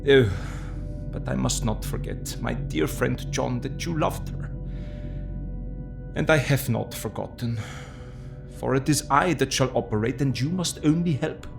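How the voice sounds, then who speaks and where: A slow, gravelly old professor. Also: male voice, medium pitch, over music. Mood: grave, secretive. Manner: deliberate, earnest, quaint non-native phrasing. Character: solemn, tender older male foreign professor and surgeon. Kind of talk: dramatic audio drama acting.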